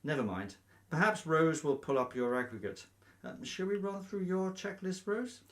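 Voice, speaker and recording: A male actor playing a breezy, nosy, officious vicar in a radio comedy, briskly polite and openly biased. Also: a little high, expressive, lightly acted. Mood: smoothly patronising. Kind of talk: radio play comedy acting.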